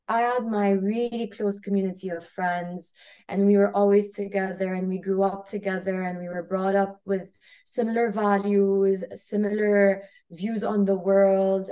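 A woman from Kuwait speaks as a podcast guest, musing openly and leaning on fillers like "like" and "you know." Her phrases often trail off softly at the ends. Her pitch sits in a middle range. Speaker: guest, spiritual coach. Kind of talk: casual interview chat.

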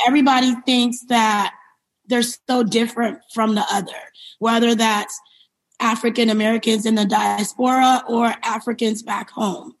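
A woman with a fairly high voice speaks as a guest in an interview. She is from New York City and tells her story in a reflective, explanatory way, leaning on key words. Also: steady tone, moderate pace, low-quality recording. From born in Liberia, grew up in New York City. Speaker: interview guest, company founder and CEO. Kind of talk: conversational interview answers, personal storytelling.

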